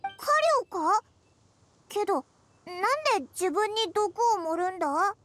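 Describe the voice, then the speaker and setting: A woman voicing a small childlike fairy companion in an anime game, squeaky, brash and easily offended. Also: unhurried, a bit bright, a little hiss or hum. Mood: shocked, puzzled. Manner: brash, exclamatory, refers to self cutely. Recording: studio voice over background music.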